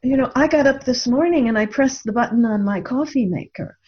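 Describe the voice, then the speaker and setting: A woman's voice: on the high side, brisk, breathy edge, highs cut off. A woman speaking reflectively in a discussion, earnest and thoughtful, often posing rhetorical questions. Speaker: radio show co-host and author.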